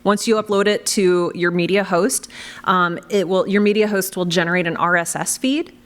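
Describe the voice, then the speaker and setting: A woman's voice: lowish voice, steady tone, normal speed. A woman giving unscripted, practical advice on a panel, emphatic and repeating her warnings. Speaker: panelist and podcast creator. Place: convention panel room.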